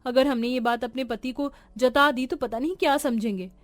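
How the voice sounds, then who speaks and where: A spoiled young married woman, put on by a female narrator, haughty and sharp-tongued. Her voice is high and whiny, as in a cartoon family drama, with music underneath. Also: moderate pace, dry recording, lightly acted. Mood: annoyed.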